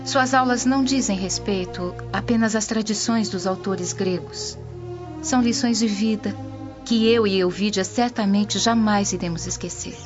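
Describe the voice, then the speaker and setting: An actress playing the earnest, admiring young daughter of a noble Roman, formal and heartfelt. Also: female voice, medium pitch, normal speed, lightly acted. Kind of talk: radio drama acting. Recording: dull lo-fi audio under music.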